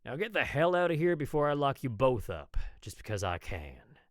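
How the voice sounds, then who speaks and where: A man's voice: medium pitch, big pitch swings, normal speed, no room sound, clean studio sound, pitched above the performer's own voice, close to an everyday voice. A man voicing a stern, taunting Old West sheriff in an audio story, with a commanding manner. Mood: taunting, threatening. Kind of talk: audio story character acting.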